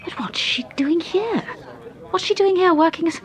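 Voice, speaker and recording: An actress playing a refined, inquisitive and affectionate British detective's wife in a radio drama. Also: female voice, very high-pitched, lively intonation, moderate pace. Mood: wary, curious. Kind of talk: BBC radio drama acting. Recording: radio drama with busy background sounds.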